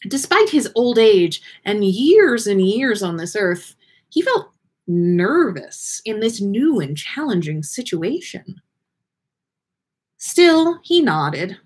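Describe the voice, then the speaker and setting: Female podcast host, expressive story reader with playful, casual asides and lively pitch swings. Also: takes it slowly, breathy edge. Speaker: podcast host reading listener stories on a livestream. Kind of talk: animated story reading with casual chat.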